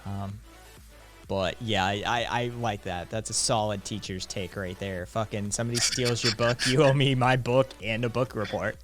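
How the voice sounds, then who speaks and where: A man's voice: lowish voice, steady tone, normal speed, soft background music. A man in his late thirties hosting a live stream, chatting casually with viewers in a rambling, profane way full of fillers. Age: late 30s. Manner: profane, rambling, laid-back with frequent fillers. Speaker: streamer host.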